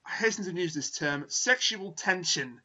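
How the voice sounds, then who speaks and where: A man's voice: sits high, animated, moderate pace, muffled recording, crowd noise. A man hosting a casual review podcast, wordy and dryly humorous, often correcting himself, sounding tired late at night. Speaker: podcast host.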